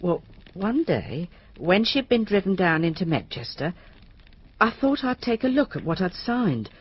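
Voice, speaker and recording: An actress playing a deferential, anxious widowed cleaner, confiding hesitantly in a radio drama. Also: female voice, lowish voice, very animated, measured pace, breathy edge, highs cut off, heavily acted.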